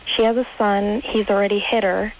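A woman with a mid-pitched voice talks casually as a caller on a radio show. There is faint noise on the audio. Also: little pitch movement, normal speed, low-quality recording. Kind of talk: casual phone-in chat.

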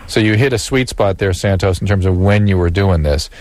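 A man with a fairly low voice gives calm, clinical explanations as the doctor on a call-in radio show. The recording is dry and close. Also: a little fast, no echo. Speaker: physician co-host.

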